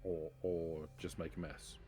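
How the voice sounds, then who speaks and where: Very deep-voiced blunt man. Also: level tone, unhurried, dark tone. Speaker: tabletop game player. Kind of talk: in-character table talk.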